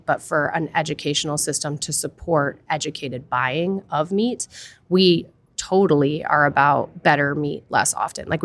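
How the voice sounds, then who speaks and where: A woman with a notably low voice talks as a chef in a relaxed podcast conversation. She is earnest and matter-of-fact. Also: no echo.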